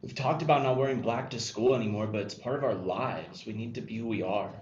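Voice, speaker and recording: A man's voice: mid-range voice, brisk, a little mellow, lightly acted. A man acting an earnest, defensive but hopeful teenage goth boy in a screenplay table read. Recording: echoey room, dull lo-fi, faint noise.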